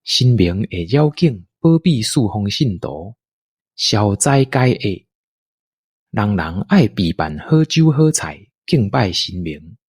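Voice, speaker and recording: Male narrator, Taiwanese accent. Very animated intonation, leisurely pace, storytelling for audio drama. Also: on the low side, slightly rough, dry recording, studio quality. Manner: unhurried, expressive storytelling delivery.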